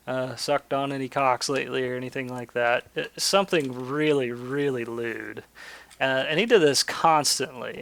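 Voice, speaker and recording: A man's voice: mid-range voice, gravelly, a bit bright, no echo. A man chatting and telling stories casually as he hosts a gaming video, rambling and swearing freely. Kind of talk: casual chat and storytelling over gameplay. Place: indoors, voice-over for screen-recorded gameplay.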